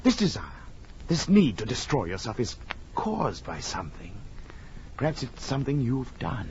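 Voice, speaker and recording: A man acting a rational, concerned friend in 1940s radio drama, earnest and theatrical, moving between hushed and urgent tones. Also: mid-range voice, huge pitch swings, normal speed. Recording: dull, noisy vintage radio recording.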